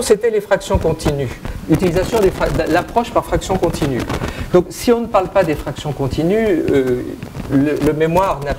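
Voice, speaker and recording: A middle-aged man with a French accent delivers a conference talk on mathematics in a lecture hall. His voice sits slightly higher than most men's. He stops and restarts his sentences often, filling gaps with hesitation sounds, and speaks into a handheld microphone. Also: noisy background. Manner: hesitant, many fillers and restarts. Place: lecture hall with projector screen.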